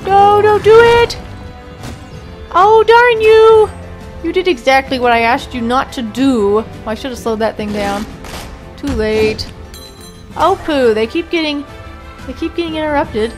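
Young woman, casual game commentary, chatty, slightly off voice from allergies, fairly high pitch, faint game music. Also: measured pace, long pauses. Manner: chatty, talks to the game characters, mild exclamations. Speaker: let's play gamer.

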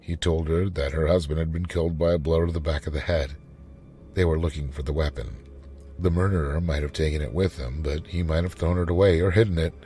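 A man reading a short story as a dramatic, expressive narrator. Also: rumbling low, a little fast.

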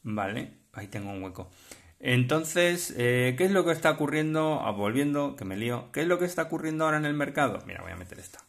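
A man with a Spain Spanish accent chatting off the cuff as a collector explaining things, halting and rambling as he checks in with listeners. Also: medium pitch, expressive, gravelly. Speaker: vlogger and card collector. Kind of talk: casual off-the-cuff explanatory chat. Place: indoors at a table, handling cards.

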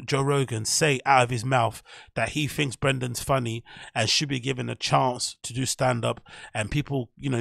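A man talks through his theory in a loose, meandering way, often correcting himself as he goes. His voice has a gravelly edge. The sound is dry and close, with no room around it. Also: medium pitch, a bit bright, no room sound. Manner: rambling, self-correcting, opinionated. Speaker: podcast host. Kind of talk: casual commentary monologue.

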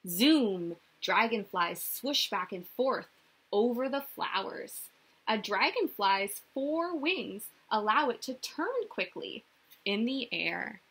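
A young woman reads a children's picture book aloud as a teacher would. Her voice swoops expressively in a sing-song way, with a bright, forward tone and a slightly breathy, husky edge. She speaks directly to young listeners, inviting them to answer. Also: a little high, lively intonation, takes it slowly, poor audio. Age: young adult. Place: small indoor room.

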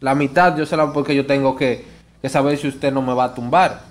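A man's voice: mid-range voice, fairly even intonation, brisk. A man in his twenties with a Dominican accent makes a prank phone call, posing as a show promoter in a pleading, insistent way. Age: 20s. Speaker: prank caller.

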